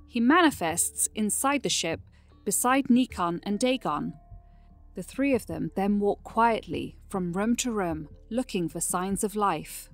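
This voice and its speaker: Woman narrator, medium pitch. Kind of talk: scripted fantasy narration, present tense.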